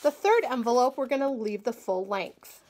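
A woman educator giving a clear, patient, step-by-step craft demonstration at a table indoors. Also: on the high side, expressive, normal speed, darkish tone. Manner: clear, patient instructional delivery. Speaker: agriculture educator. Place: small indoor room, table-top demo.

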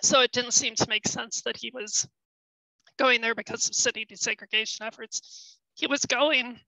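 Woman historian with a bright, dry voice. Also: a little high, steady tone, moderate pace, vocal fry, dry recording, low-quality recording. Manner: explanatory, careful, with frequent ums and self-corrections. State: losing her voice. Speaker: historian presenting research. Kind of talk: webinar presentation.

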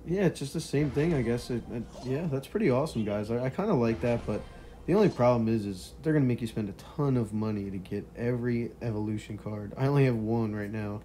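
A young man with a hoarse, partly lost voice, casually commentating a mobile strategy game and chuckling quietly as he plays. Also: mid-range voice, fairly quick, slight background noise. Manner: relaxed, chuckles quietly while playing.

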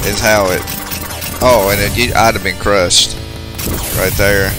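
A man's voice: on the low side, fairly even intonation, measured pace. A man giving casual, off-the-cuff commentary as he goes through a video game. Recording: game music behind the voice. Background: video game music.

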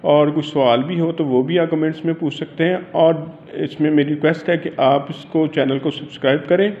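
A man with a Pakistani accent teaches law in an informal way, with a fairly high voice. He explains haltingly, circling back over points and dropping in English legal terms. The sound is slightly muffled and lo-fi, with faint noise behind him. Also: fairly even intonation. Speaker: law lecturer. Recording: dull lo-fi audio with faint noise.

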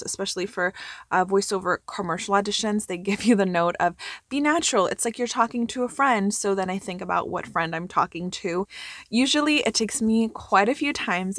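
Woman in her 30s doing a chatty how-to vlog, normal pitch, really dry closet sound. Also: dry recording. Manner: chatty, informal, explanatory. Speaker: vlogger and actor. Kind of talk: casual how-to vlog talk to camera. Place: small clothes-filled closet, indoors. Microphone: compact camera microphone close by.

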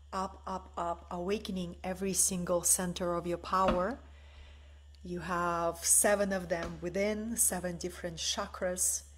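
Slow, soft-spoken woman from the former Soviet Union. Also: on the low side, a little hiss or hum. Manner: slow, soft and meditative, often asking "right?". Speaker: spiritual teacher. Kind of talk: live-streamed spiritual talk. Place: bright living room at home, indoors.

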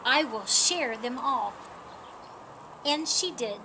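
A middle-aged woman reads a children's storybook aloud in a sunny yard, her high voice swinging widely. She takes her time over each line. Faint outdoor noise sits behind her. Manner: slow, lively, lifts her voice for animal lines. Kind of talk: reading a picture book aloud. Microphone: camera a few feet away.

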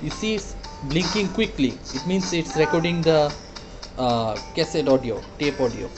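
Male product reviewer, fairly high voice, informal step-by-step demo style, halting delivery with repeated words, faint music in background. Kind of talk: casual product demonstration.

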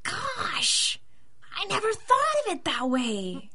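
An earnest, whiny street kid in a pulp radio play, a boy acted by a woman. His voice is very high and swoops about at an unhurried, slangy drawl. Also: wildly swooping pitch, pushed and bright, exaggerated voice. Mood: innocent curiosity. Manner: gee-whiz, slangy, whiny. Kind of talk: 1930s-style radio drama acting.

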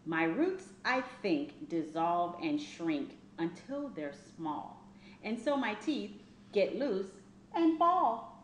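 A middle-aged woman reads a children's picture book aloud in an expressive, sing-song storytelling style, speaking deliberately into a webcam mic in a small room. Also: mid-range voice. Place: small plain indoor room. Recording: roomy echo, faint background noise.

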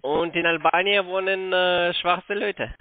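A man with a foreign accent, not a native speaker, arguing on a call in halting, repetitive broken phrases. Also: sits high, steady tone, no room sound. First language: not German. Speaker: cold caller. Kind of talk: argumentative phone conversation. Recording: thin dry phone-line audio.

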